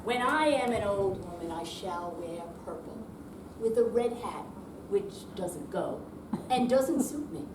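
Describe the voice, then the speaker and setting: Animated older woman reading in echoey room. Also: mid-range voice, a little slow. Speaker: amateur readers theater performer. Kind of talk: scripted reading on stage. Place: senior center room, live reading. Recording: echoey room, distant mic, clear noise.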